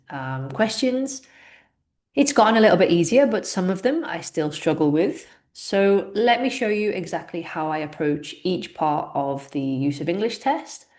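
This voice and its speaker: A young adult English teacher, a woman from the UK, explaining exam questions as she thinks aloud and corrects herself. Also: sits low. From United Kingdom. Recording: echoey room.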